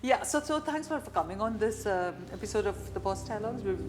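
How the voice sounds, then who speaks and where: A woman's voice: mid-range voice, animated, fast. A woman with an Indian accent hosting a conversational interview, phrasing her questions hesitantly. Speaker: interview host.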